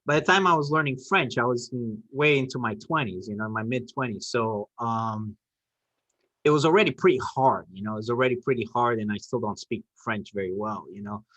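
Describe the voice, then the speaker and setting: A man whose first language is Portuguese speaks English in a reflective, conversational way, often filling pauses with "you know". His pitch is mid-range for a man. The recording is dry and close, with no echo. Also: fairly bright tone. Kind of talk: casual conversation.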